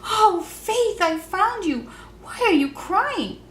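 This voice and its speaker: A woman's voice: very high-pitched, lively intonation, slow, a bit bright, pitched above the performer's own voice, full character voice. A woman voicing a caring, eager little girl in a children's storybook, earnest and emphatic. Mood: calling out, concerned. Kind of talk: children's storybook read-aloud. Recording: roomy echo, faint background noise.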